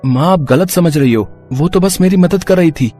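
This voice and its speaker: A man playing gentle male relatives in a moral story. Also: fairly high pitch, moderate pace, faint music behind, close to an everyday voice. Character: gentle male relatives, a father and young husband. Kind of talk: animated moral story character acting.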